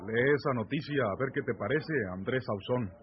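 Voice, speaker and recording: An actor playing a stern, questioning rural man with a Mexican accent, speaking deliberately and emphatically in an old radio serial. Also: medium pitch, close to an everyday voice. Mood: grave. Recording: noisy, dull vintage AM radio recording.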